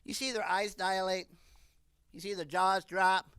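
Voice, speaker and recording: A woman in her sixties performing a stand-up comedy monologue in an animated, rambling way. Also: medium pitch, measured pace, clear, resonant tone, no room sound, studio quality. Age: 60s.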